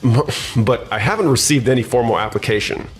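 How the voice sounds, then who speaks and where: A man's voice: medium pitch, a little fast, husky, soft background music, natural voice. A man playing a scheming, self-important office manager with pushy corporate formality in a manga dub. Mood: flustered, contrite. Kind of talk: scripted manga dub acting.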